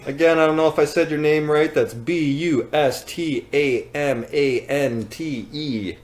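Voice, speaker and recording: A man's voice: on the high side, unhurried. A man with a Canadian accent, rambling and joking as he hosts a live giveaway. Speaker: business owner hosting a live giveaway. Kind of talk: casual livestream chat.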